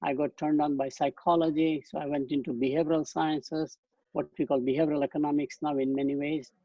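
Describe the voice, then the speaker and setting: An old man with an indian accent, fairly high for a man, soft mellow tone with a bit of husk, talking through slides in long wandering sentences that restart a lot, dull flat sound with no room echo. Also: a little fast. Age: 80s. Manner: loose rambling phrasing with restarts. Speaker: guest speaker. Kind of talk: webinar lecture with slides. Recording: dull dry webcast audio.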